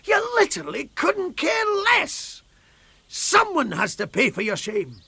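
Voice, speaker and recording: A bitter ex-comedian in a radio play, facing down a crowd. His voice rides very high for a man and swings widely. He speaks in theatrical, rhetorical repetitions, with a hard, bright edge. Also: wildly swooping pitch, measured pace, vocal fry, dry recording, full character voice. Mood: bitter, accusing. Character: bitter, defiant former stand-up comic addressing a hostile crowd. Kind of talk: radio drama monologue.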